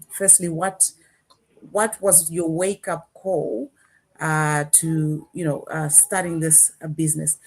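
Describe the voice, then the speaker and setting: A woman's voice: fairly low pitch, a little mellow. A woman hosting a casual live online panel discussion, speaking conversationally as she guides her guests.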